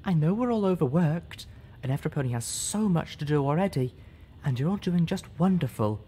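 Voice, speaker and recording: A man voicing a gentle, soft-spoken female pony who sounds weakened and short of breath. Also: a little high, expressive, brisk, slightly rough, pitched above the performer's own voice. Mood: pleading.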